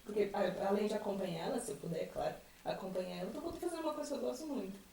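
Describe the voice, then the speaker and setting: Mellow Brazilian woman in a roomy space. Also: medium pitch, rapid-fire, gravelly. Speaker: member of a student theatre group. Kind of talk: casual group chat. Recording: roomy, distant mic, faint background noise.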